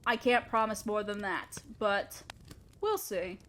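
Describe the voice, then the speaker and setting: A woman's voice: mid-range voice, very animated, takes it slowly, a bit bright, slight background noise. A woman playing a haughty, scheming evil queen in an audio roleplay, speaking in an imperious, theatrical, condescending manner. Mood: grudging, exasperated. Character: haughty evil queen, scheming, begrudgingly fond of her helper. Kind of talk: scripted roleplay audio drama monologue.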